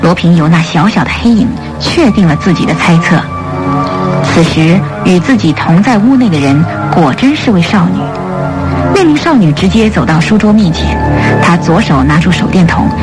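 A woman narrating a radio drama from a script in an expressive storytelling manner. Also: lowish voice, normal speed. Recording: studio radio play with music bed.

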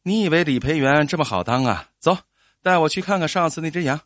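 A man playing a stern village insurance adjuster, brisk, officious and quick to scold, in an audio story. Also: on the high side, animated, a bit bright, no echo, clean studio sound.

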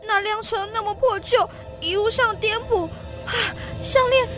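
An actress playing an anxious, flustered and plaintive young wife in a literary radio drama. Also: female voice, extremely high pitch, measured pace, bright, forceful tone, soft background music, exaggerated voice. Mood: agitated. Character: distraught young wife who lost a borrowed necklace.

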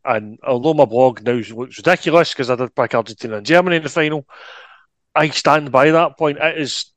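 A man's voice: mid-range voice, dry recording. A man co-hosting a football podcast, blunt and opinionated in casual chat. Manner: blunt and opinionated, quick asides. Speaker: podcast co-host.

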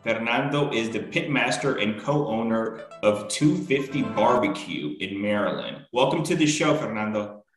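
A man's voice: medium pitch, normal speed, few pauses, soft background music, low-quality recording. A man speaking as a podcast host, introducing his show and guest and leading an interview.

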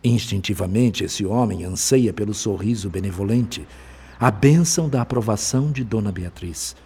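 A man playing a wise, calm spirit physician in a radio drama, explaining things in a measured, formal, fraternal way. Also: on the low side, husky. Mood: compassionate, solemn.

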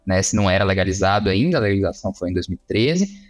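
A Brazilian man from Minas Gerais speaks as an interview guest. His voice is on the low side. He explains in a casual, conversational way, halting now and then to find a word. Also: animated.

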